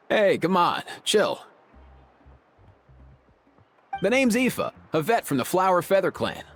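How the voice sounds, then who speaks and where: A man's voice: high-pitched, very animated, unhurried. A man voicing a laid-back young veterinarian in a video game, with an easygoing, slangy, friendly manner. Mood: breezy, friendly. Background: music.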